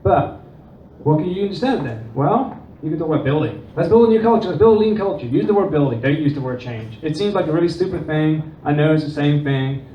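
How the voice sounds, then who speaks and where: A man's voice: fairly high pitch, brisk. Dutch-accented man presenting in a roomy hall. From Netherlands and England. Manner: animated, acts out quoted dialogue. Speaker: conference presenter. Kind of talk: conference talk. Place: conference room. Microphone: distant room recording. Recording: distant, roomy, dull with faint noise.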